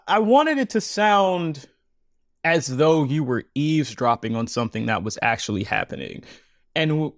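A man talking casually as a podcast guest, animated and laughing often, repeating words as he thinks aloud. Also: medium pitch, dry recording, clean studio sound. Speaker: podcast guest, audio drama maker. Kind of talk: casual interview chat.

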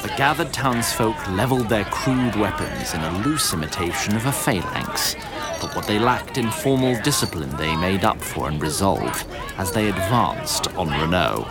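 Male narrator for audio fiction: lowish pitch, highly varied melody, theatrical and wry, prominent music underneath. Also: lively intonation, moderate pace, a loud crowd. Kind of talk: scripted storytelling narration.

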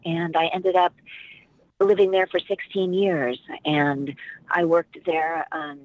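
A woman's voice: fairly low pitch, little pitch movement, takes it slowly, no echo, narrow, tinny audio. A middle-aged woman from Washington, D.C., an actress and dialect coach, talking casually as an interview guest in a rambling, reflective way with many fillers.

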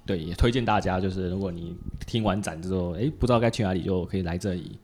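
A man with a Taiwanese accent and a fairly low voice hosts an informal podcast. He talks in a casual, conversational way. He tends to double up short words as he agrees or gathers his thoughts. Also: darkish tone, faint music behind. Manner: repeats words in quick agreeing bursts. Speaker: podcast host. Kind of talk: casual chat. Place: small partitioned dessert cafe in an alley.

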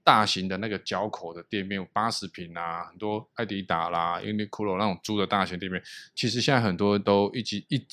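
A man's voice: on the low side, fairly even intonation, a little fast, a bit bright. A man with a Taiwanese Mandarin accent, chatting casually as a podcast host and explaining things at length.

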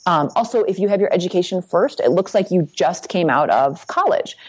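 A woman coaching on resumes in an interview, brisk and practical, often checking in with "right?". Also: on the low side, animated, breathy edge. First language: English. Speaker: guest career coach. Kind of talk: advice interview.

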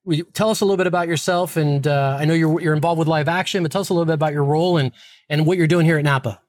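A man's voice: a little high, steady tone, racing, dry recording, clean studio sound. A man hosting a podcast, reading an upbeat intro and then interviewing a guest in a friendly manner. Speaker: podcast host.

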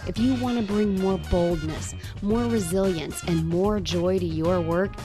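A woman's voice: medium pitch, unhurried. A woman reading a polished, upbeat scripted introduction to her podcast. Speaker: podcast host. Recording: intro read over clear music.

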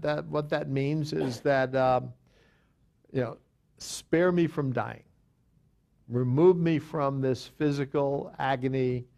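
A man teaching a Bible study as a pastor, talking with frequent restarts and fillers and reading scripture aloud. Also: mid-range voice.

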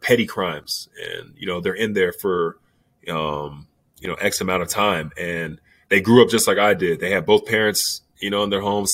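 A man's voice: low-pitched, a bit bright, no echo. A man in his twenties, a pro basketball player, giving candid interview answers in a laid-back, rambling way full of fillers. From New York.

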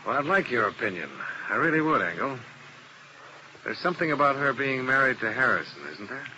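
A man's voice: medium pitch, animated, a little fast, sharp tone, rough recording. An actor playing a hard-boiled male insurance investigator who narrates and questions people in a 1950s radio drama, in a terse, dry, probing manner. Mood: pressing. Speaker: narrator and lead detective. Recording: hissy old radio broadcast.

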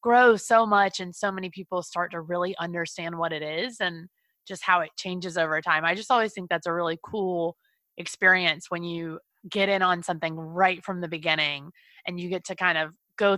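A woman's voice: on the low side, fairly even intonation, moderate pace, pushed and bright, no echo. A woman hosting a podcast, chatting in an upbeat, gushing way. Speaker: podcast host. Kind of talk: casual interview chat.

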